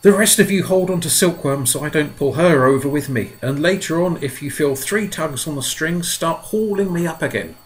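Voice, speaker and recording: Brave storybook boy, played by a man, high and urgent. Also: normal speed, hardly any pauses, close to an everyday voice. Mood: determined. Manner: urgent, commanding.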